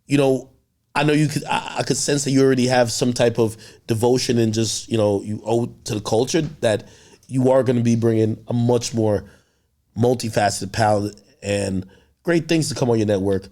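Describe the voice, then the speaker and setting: A man's voice: medium pitch, moderate pace, dark tone. Brash joking male podcast host. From Jamaica. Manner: brash, joking, profane banter. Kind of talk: casual podcast interview.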